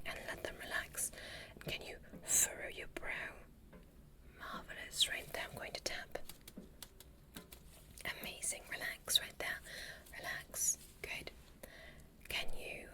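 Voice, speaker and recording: Woman playing a whispering, gentle alien medical examiner. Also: close to an everyday voice. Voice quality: breathy, mostly unvoiced whisper. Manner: soothing, softly instructive, repeats short praise. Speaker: ASMR performer. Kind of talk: whispered ASMR medical roleplay.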